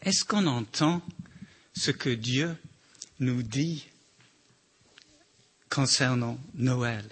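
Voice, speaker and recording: Slow, halting man with a slight accent. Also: mid-range voice, a bit bright, husky. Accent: slight foreign accent in French. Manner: slow, halting, many restarts. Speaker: church member sharing a reflection. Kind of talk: informal sermon reflection.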